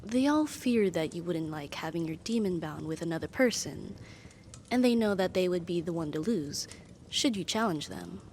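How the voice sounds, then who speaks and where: A woman's voice: on the low side, expressive, moderate pace, a little mellow. Woman voicing a formal, devoted demon servant, noisy recording. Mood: pleased, reverent. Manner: formal, stately, breathy sighs and whispers. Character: powerful female demon, devoted and possessive servant. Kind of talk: ASMR roleplay monologue.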